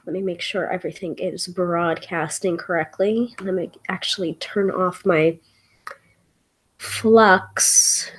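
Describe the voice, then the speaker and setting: A woman's voice: fairly low pitch, fairly even intonation, slow, a little hiss or hum. Froggy congested lady in her 40s, chatty tarot reader on a home livestream mic, lots of ums. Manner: chatty, laughs often, many ums. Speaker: tarot reader hosting a live show. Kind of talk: casual live-stream chat.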